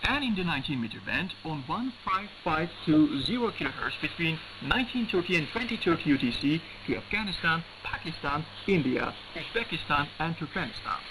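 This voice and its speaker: A man's voice: on the high side, big pitch swings, brisk, hardly any pauses. A man reading a scripted station announcement as a radio announcer, heard over shortwave radio. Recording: noisy shortwave radio reception. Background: static and radio noise.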